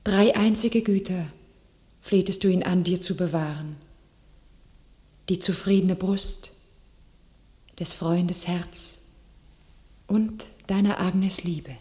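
A woman's voice: fairly low pitch, fairly even intonation, takes it slowly, pauses often, a little mellow. A woman acting a devout, visionary young shepherdess in a classical radio play, declaiming verse solemnly and resolutely. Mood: solemn, prophetic.

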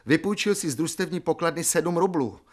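Casting: man as pompous petty official in radio play, aggrieved, flustered, fairly high male pitch. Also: natural voice. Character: indignant, officious male house committee official. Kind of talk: Czech radio drama acting.

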